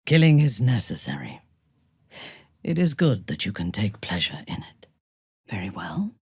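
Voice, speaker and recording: A low, husky, ruthless drow commander. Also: female voice, sing-song, darkish tone, no echo, clean studio sound, heavily acted. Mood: cold, approving. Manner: commanding, deliberate, menacing. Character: ruthless, imperious female drow warband commander. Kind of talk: dramatic video game voice acting.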